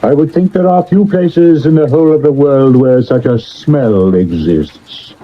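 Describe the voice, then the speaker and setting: A man playing an urbane, self-assured Victorian gentleman sleuth in a period radio drama, dry and witty. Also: mid-range voice, a little slow, lightly acted. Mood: wry, assured. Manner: dry, witty, commanding, lofty. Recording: muffled 1970s medium wave radio recording.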